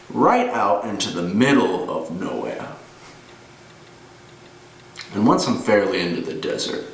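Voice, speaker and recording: Man acting a grim older ex-cop, slow and roomy. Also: fairly low pitch, gravelly, heavily acted. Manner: deliberate storytelling with pauses. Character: gruff weary older male ex-cop fixer, grimly menacing. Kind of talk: dramatic monologue performance. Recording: roomy, distant mic with noise.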